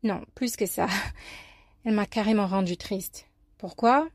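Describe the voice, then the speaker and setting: A woman from the United States with an American accent, giving a scripted, expressive personal talk as a life coach and columnist. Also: medium pitch, unhurried, no echo, clean studio sound. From United States, New York. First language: English.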